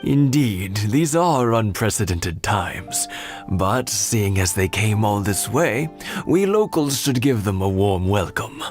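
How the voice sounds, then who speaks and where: A man's voice: on the low side, animated, slow, faint music behind. An actor voicing a polite, hospitable elderly village man in a game. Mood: courteous. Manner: courteous, hospitable. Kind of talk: game voice acting.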